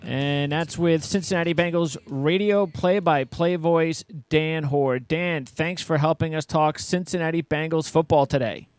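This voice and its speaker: Higher-pitched American man hosting sports interview. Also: a little slow, hardly any pauses, clean tone, no echo, faint music behind. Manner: wordy, rambling questions. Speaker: interview show host.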